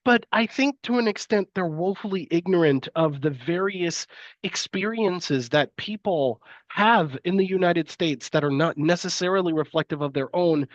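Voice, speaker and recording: Male lecturer, sniffly from a cold, halting delivery, close and dry, a little dull in tone. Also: sits high, no room sound. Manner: hesitant, many fillers and restarts. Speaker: university lecturer. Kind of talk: live-streamed lecture.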